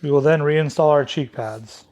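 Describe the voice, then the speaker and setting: A man's voice: a little high, normal speed, darkish tone. A man narrating a step-by-step product installation tutorial as a demonstrator.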